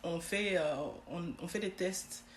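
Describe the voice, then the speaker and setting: A woman's voice: lowish voice, a little slow. A woman from Congo who grew up in Belgium, with Tshiluba as a home language, answering casually as an interview guest. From born in Congo, grew up in Belgium. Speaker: podcast guest, clinical research manager. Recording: roomy echo, faint background noise.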